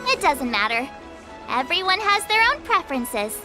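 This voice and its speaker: A woman voicing an excitable, boastful teenage girl apprentice in an English video game, chirpy, playful and dramatic. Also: high-pitched, normal speed, music in the background. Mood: enthusiastic.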